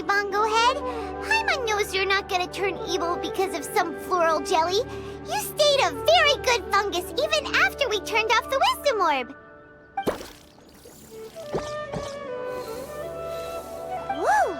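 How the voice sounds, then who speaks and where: A woman voicing a small, excitable, childlike fairy companion in an anime game, squeaky and exclamatory. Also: moderate pace. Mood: amazed, delighted. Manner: speaks of self in third person, exclamatory. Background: music.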